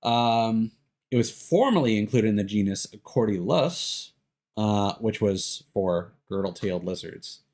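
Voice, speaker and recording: A man's voice: on the low side, lively intonation, unhurried, darkish tone. A man doing casual, rambling livestream commentary over a video game, thinking aloud as he goes. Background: music behind the voice.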